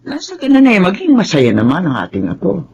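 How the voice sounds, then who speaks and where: A woman's voice: on the low side, huge pitch swings, fairly quick, darkish tone, breathy edge, heavily acted. A woman with a Filipino accent playing a kindly but firm elderly grandmother in a radio drama, with dull lo-fi sound. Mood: coaxing.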